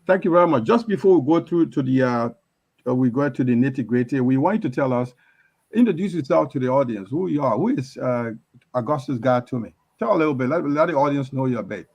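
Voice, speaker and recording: A man hosting a political interview, introducing and prompting his guest in halting, repetitive phrases. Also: medium pitch, animated, lo-fi sound. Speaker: host, interviewer.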